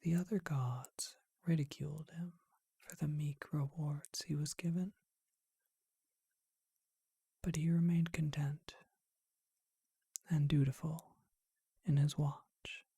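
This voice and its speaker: A performer voicing a charming vampire who tells an old tale in a gentle, breathy ASMR whisper, unhurried and reflective. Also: medium pitch, fairly even intonation, no room sound, clean studio sound, heavily acted. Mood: hushed storytelling.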